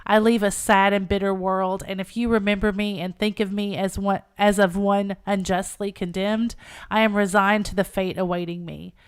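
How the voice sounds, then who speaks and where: A woman voicing a gentle, resigned young woman condemned to death, solemn and earnest, in a novel reading. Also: mid-range voice, level tone, normal speed, smooth, voice pushed down, lightly acted. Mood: solemn resignation.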